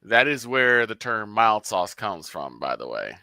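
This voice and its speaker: An American man chats casually as a sports co-host. His voice is fairly low and bright, with animated swings in pitch and a dry close sound. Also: a little slow, no room sound. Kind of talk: casual banter.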